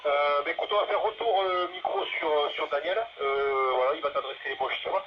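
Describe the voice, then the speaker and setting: A man's voice: on the high side, fairly quick. A man in a casual, hesitant and rambling chat as a radio operator, often repeating words, heard through a two-way radio. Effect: two-way radio transmission. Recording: muffled, noisy two-way radio audio.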